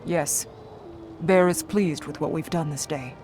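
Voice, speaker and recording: A woman's voice: low voice, a little slow, darkish tone. A solemn northern-fantasy warrior heroine. She speaks in grave, formal declarations, proud and unbending, as in a video game cutscene with prominent music underneath. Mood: proud, firm. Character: proud, solemn Norn warrior woman seeking redemption.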